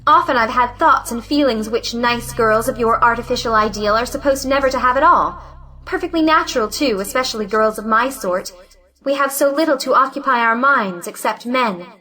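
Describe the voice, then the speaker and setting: A woman's voice: fairly high pitch, moderate pace, sharp tone. A woman playing a frank, defiant young unmarried lady, earnest and plaintive, in a theatrical audio play. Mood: bitterly frank. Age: young adult. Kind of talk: theatrical audio drama acting of a 1917 play.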